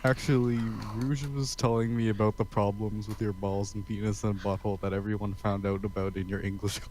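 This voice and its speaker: A man's voice: fairly low pitch, flat delivery, fairly quick, a little mellow, poor audio. A man reading character lines in a casual comedic read-along with friends. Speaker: co-reader of character dialogue.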